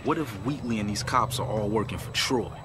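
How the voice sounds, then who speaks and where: An actor playing a defiant young streetwise hustler in a video game, slangy and insistent. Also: male voice, lowish voice, quick pace, noisy background, lightly acted. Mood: skeptical. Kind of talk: video game cutscene acting. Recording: loud music behind the voice.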